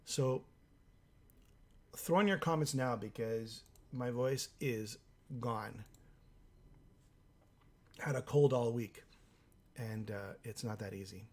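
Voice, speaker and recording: Host, male, hoarse from a cold, reading viewer comments on a live stream, halting delivery, mid pitch. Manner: halting, thinking aloud, many fillers. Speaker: livestream host.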